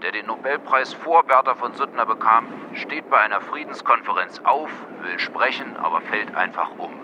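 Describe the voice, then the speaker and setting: A man's voice: medium pitch, moderate pace, natural voice. A man reciting scripted narration as one of several narrators in an audio drama. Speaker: chorus narrator. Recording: dull sound, faint outdoor traffic noise.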